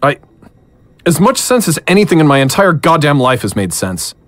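A man acting in an audio drama as a bewildered, lovesick man, speaking earnestly and haltingly. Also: on the high side, sing-song, no echo. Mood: bewildered, pleading. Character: bewildered, lovesick, weary man pleading with his beloved.

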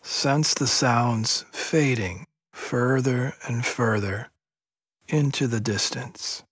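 A man guiding a sleep meditation in a soft, soothing, measured voice with long pauses between phrases. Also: medium pitch, slightly rough, no echo, studio quality. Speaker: meditation guide. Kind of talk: guided yoga nidra sleep meditation.

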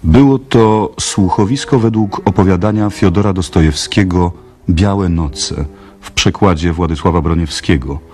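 A male radio announcer reads a formal script. His voice is fairly low and his pace slow, with faint music behind him. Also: a little mellow.

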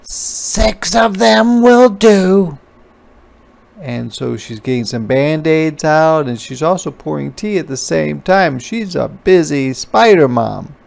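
Slow-paced man reading to children. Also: a little high, animated, creaky. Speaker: read-aloud teacher. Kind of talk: children's storybook reading with explanations.

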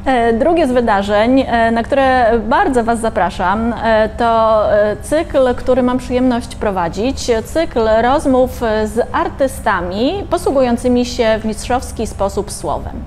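A woman's voice: on the high side, lively intonation, unhurried, hardly any pauses, a little mellow, slight background noise. A middle-aged woman announcing events with a hesitant delivery full of fillers, seated in a bookshop cafe. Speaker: event host. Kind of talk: promotional announcement.

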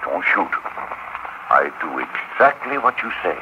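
Nasty german gestapo boss in an old radio play, super noisy muffled audio, scornful and theatrical. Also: male voice, low voice, very animated, measured pace. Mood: cornered, wary. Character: cruel, raging German Gestapo spy boss. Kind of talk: 1940s radio acting.

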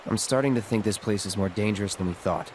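A man's voice: lowish voice, fast, background noise, natural voice. An actor playing a guarded, terse young mercenary swordsman who is awkwardly protective, in a video game cutscene. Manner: terse, hesitant, understated.

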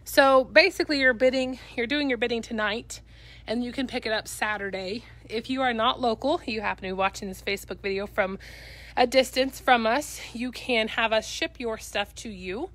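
An upbeat woman with a Midwestern accent, her voice sitting a little high, chats with live viewers as she walks a cluttered warehouse. She is recorded on a handheld phone. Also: moderate pace, fairly bright tone. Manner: upbeat, chatty, talking to live viewers while walking. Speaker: auction company staff. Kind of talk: live walkthrough of auction lots. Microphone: handheld phone camera.

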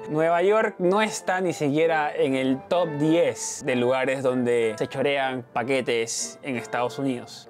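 A young man with a Peruvian Spanish accent, chatting lively and directly to his viewers in a casual vlog. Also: on the high side. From Peru. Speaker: travel vlogger. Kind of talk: casual vlog talk to camera.

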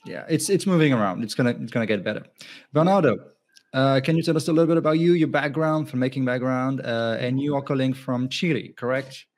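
A man's voice: medium pitch, fast, darkish tone. A man, hosting a festival panel over a video call and speaking in a friendly way with many fillers. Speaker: festival host and panel moderator. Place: home room. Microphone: earphone headset on video call.

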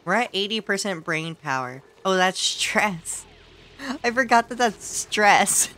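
Giggly woman streamer, slightly hoarse. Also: mid-range voice, animated, unhurried, bright, forceful tone, a little hiss or hum. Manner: giggly, playful, exclaims at the game. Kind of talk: casual gameplay commentary.

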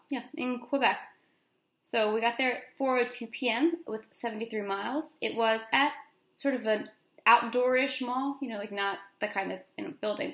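A woman's voice: on the high side, fairly bright tone. A middle-aged American woman talking casually to camera in a conversational vlog style, with informal asides, recorded in a room at home. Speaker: vlogger. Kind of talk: casual vlog recounting from notes. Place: small room at home, indoors. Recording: echoey room.

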